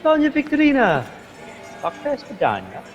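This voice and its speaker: Gallant society flatterer played by a man, suave and effusive, radio drama with music underneath. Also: extremely high pitch, normal speed, dull audio, heavily acted. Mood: flattering. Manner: courtly, flattering. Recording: long hall reverb, music underneath.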